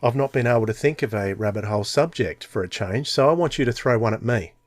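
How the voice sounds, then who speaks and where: A man's voice: medium pitch, lively intonation, quick pace, no room sound. Australian man, close dry sound. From Tasmania, Australia. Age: 40s. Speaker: podcast co-host. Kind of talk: casual podcast chat.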